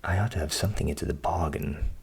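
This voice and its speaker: A man's voice: deep voice, fast, darkish tone, husky, pitched below the performer's own voice, heavily acted. A man voicing a grave, canny countryman in a storybook, solemn and warning. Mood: bargaining.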